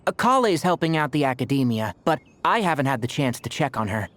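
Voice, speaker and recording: A man's voice: mid-range voice, big pitch swings, fairly quick, fairly bright tone, slight background noise. An actor playing a dry, long-suffering young man in a video game, casual and wry. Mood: casual, resigned. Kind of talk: video game character voice acting.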